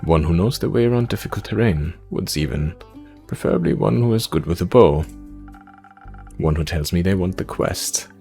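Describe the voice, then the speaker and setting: A man playing a patient, dry-humoured tavern keeper in a visual novel, speaking in a measured, deadpan way. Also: sits low, gravelly, voice pushed down. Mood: calm, dry. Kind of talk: visual novel character read-aloud. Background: music.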